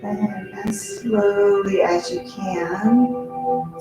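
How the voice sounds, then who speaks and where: A woman yoga teacher leading a guided meditation in a gentle, unhurried way, with short, spaced-out phrases. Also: medium pitch, vocal fry. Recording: very echoey, music under the voice. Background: music.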